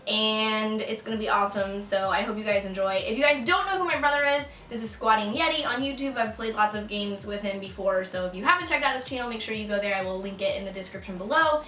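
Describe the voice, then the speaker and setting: A woman's voice: medium pitch, fast talker, hardly any pauses, a bit bright. A woman in her twenties hosting a casual vlog chat, laughing and telling stories. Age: 20s. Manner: chatty, laughing, storytelling. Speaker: vlogger host. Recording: roomy echo, mic at a distance, faint music. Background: faint music.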